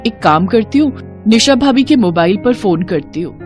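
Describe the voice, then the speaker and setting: A woman's voice: medium pitch, expressive, normal speed, over music, lightly acted. A woman playing a hurt, puzzled young daughter-in-law in a cartoon family drama, earnest and questioning. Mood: relieved, hopeful.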